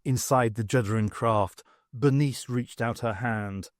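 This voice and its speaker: A man's voice: mid-range voice, a little slow, dry recording, clean studio sound. A man reading scripted fiction as an audiobook narrator, with a dramatic delivery. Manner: dramatic reading with acted dialogue. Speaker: audiobook reader.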